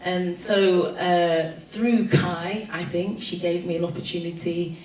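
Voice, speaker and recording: A woman from London with a London accent gives a reflective, informal panel talk, pausing on ums and restarting her sentences. Her voice sits low and stays flat in pitch. She is picked up from a distance in an echoey room with a faint hum of ambience behind her. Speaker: panel speaker, writer. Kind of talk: spoken presentation at a panel. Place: event room at a festival panel.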